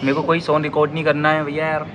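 A man's voice: a little high, monotone, rapid-fire, noisy background. A young man chatting casually and teasingly with friends at a restaurant table, recorded on a phone from across the table. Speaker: travel companion. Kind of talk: casual chat. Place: indoor roadside restaurant.

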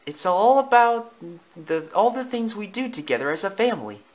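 An eager, loving mother dog from a children's story , acted out by a man. The voice is lifted high and is gentle and reassuring as it talks to the family. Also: big pitch swings, moderate pace.

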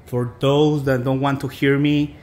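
A man with a Mexican American accent giving a casual, halting, informal product review as he talks to viewers. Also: mid-range voice, flat delivery, a little mellow. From South Texas. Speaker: boot reviewer.

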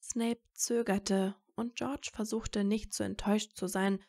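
A woman's voice: medium pitch, flat delivery, a little slow, a little mellow. A woman reading scripted story narration as the narrator of an audio drama.

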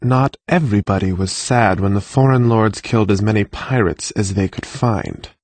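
A man's voice: on the low side, moderate pace, darkish tone, no echo, close to an everyday voice. An actor playing a patient young male mage in a fantasy audiobook, explaining things informally. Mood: patient, frank.

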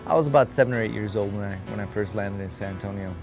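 A man's voice: lowish voice, racing, music in the background. A man in his forties, originally from Guatemala, talking about his life in an outdoor interview, in an earnest, conversational way. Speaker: interviewee, artist. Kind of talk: casual interview talk. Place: outdoor patio in daylight.

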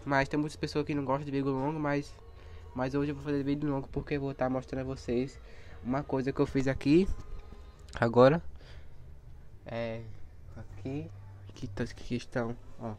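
Hoarse young man showing his plants. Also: medium pitch, flat delivery, moderate pace, pauses often, darkish tone, faint music behind. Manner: chatty, points things out to viewers. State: hoarse from a cold. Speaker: plant vlogger. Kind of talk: casual walkthrough vlog. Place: outdoor backyard garden area. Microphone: handheld phone camera.

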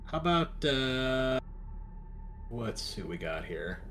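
A man's voice: medium pitch, big pitch swings, takes it slowly, fairly bright tone, faint music behind. A man hosting as game master, chatting casually and explaining the scene to players and listeners in a loose, conversational way.

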